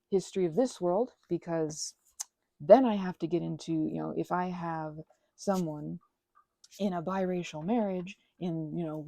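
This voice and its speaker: A woman chatting casually in a rambling, digressive way to her audience, animated and poking fun at herself. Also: lowish voice, vocal fry, no echo. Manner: animated, self-mocking, digressive. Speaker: hobbyist creator talking to her audience. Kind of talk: casual rambling chat, ASMR channel.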